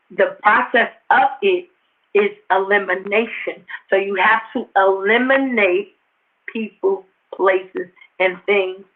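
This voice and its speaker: The audio is narrow and phone-like. A woman with a slightly hoarse, raspy voice of medium pitch hosts a casual motivational talk. She addresses her viewers directly and emphatically, often repeating key phrases. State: a little hoarse. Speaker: host of a motivational talk show. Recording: tinny, phone-like narrow-band livestream audio.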